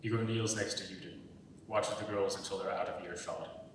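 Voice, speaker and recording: A young man with a fairly low voice reads stage directions as scripted narration. He sounds distant in a very echoey room, over faint rumbling noise. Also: steady tone, moderate pace. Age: young adult. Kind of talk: scripted narration at a dramatic reading.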